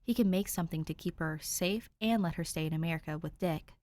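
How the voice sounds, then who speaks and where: A woman reading fan fiction aloud, narrating with an animated storytelling manner. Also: on the low side, a little fast, no echo. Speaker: podfic reader.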